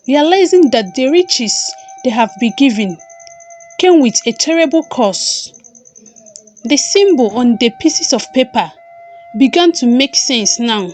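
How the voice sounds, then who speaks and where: A woman narrating a scripted folktale as a storyteller, in a measured and deliberate manner with pauses between phrases. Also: high voice, a little mellow. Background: background music.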